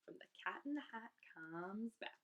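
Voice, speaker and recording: Very animated woman reading a children's storybook. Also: mid-range voice, normal speed, muffled recording. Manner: animated, theatrical, emphatic delivery for children. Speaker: children's read-aloud host. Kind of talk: expressive storybook reading aloud.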